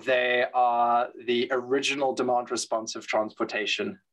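A man answering interview questions in a thoughtful, measured way, with frequent fillers and restarts. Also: mid-range voice, level tone, a bit bright, low-quality recording. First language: English. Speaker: guest, transit expert. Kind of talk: interview conversation.

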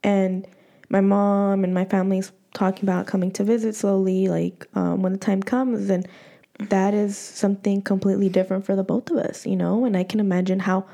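Woman, podcast host, casual chat, mellow voice, rambling with fillers. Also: mid-range voice, little pitch movement, brisk, gravelly. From United States. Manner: chatty, many fillers and restarts.

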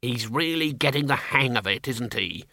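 A man's voice: medium pitch, lively intonation, moderate pace, gravelly, pushed and bright, dry recording, clean studio sound, full character voice. A man voicing a worried, fussy older wizard who frets aloud. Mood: fretful.

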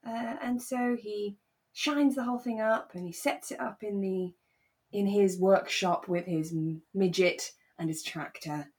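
A British woman with a mid-pitched voice talks as a podcast co-host. She is relaxed and informal, recapping drama in an easy conversational way. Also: unhurried. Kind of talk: casual chat recapping a radio drama.

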